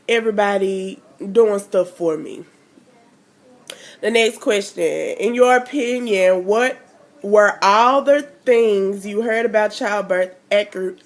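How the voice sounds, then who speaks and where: Slow, stuffy-nosed young woman vlogger. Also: fairly high pitch. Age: 20s. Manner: rambling, chatty, lots of fillers. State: stuffy nose from a cold. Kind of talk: casual chat to camera.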